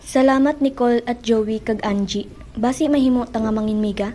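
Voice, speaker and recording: Earnest bullied schoolgirl acted by a woman, Filipino accent, very high, mellow voice, radio drama. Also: a little fast, natural voice. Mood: hurt, earnest. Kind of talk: student radio drama acting. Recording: faint crowd and noise behind.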